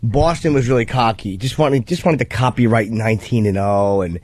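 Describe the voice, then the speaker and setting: A man's voice: medium pitch, normal speed, darkish tone, no room sound. A man co-hosting a radio show, bantering casually about sports.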